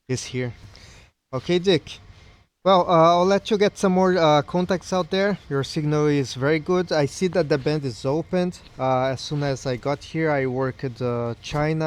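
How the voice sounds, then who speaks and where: A man, in Portuguese, makes a casual ham radio contact. His mid-pitched voice is courteous and complimentary. He is outdoors on a rooftop, with some noise in the recording. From Brazil. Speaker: amateur radio operator.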